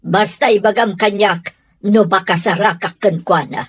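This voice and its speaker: A woman's voice: mid-range voice, steady tone, normal speed, slightly rough, close to an everyday voice. A Filipino woman playing a stern middle-aged aunt in a radio drama, pressing and disdainful in her delivery. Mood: insistent, disapproving. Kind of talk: Ilocano radio drama acting.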